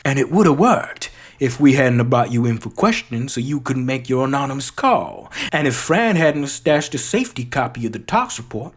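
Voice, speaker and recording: A performer reciting as a theatrical, shrewd Southern gentleman detective with a drawling American Southern accent. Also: medium pitch, big pitch swings, normal speed. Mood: triumphant. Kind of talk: dramatic recitation of a film monologue.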